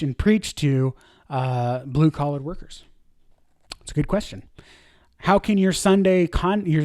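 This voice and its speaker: A man's voice: on the high side, dark tone, no echo, studio quality. Earnest, chatty man in his thirties. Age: 30s. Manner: conversational and earnest, with fillers and self-corrections. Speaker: church media director teaching a course. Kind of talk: informal course lesson.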